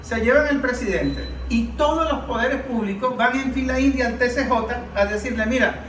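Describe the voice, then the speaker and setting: A man's voice: very high-pitched, moderate pace, fairly bright tone. A Venezuelan man speaking as a political analyst in an informal panel discussion, emphatic and argumentative, often posing rhetorical questions. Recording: roomy, distant mic with faint noise.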